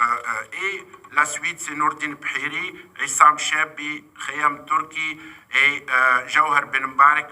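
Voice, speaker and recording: A man whose first language is Arabic speaks in a meeting room, his voice very bright and a little high. He stumbles and restarts often as he works through his points. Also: low-quality recording. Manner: halting, many fillers and restarts. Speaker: NGO vice president giving closing remarks. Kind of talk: conference speech. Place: UN committee room.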